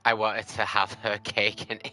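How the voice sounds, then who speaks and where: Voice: medium pitch, sharp tone. A game streamer giving casual, offhand live commentary in loose asides.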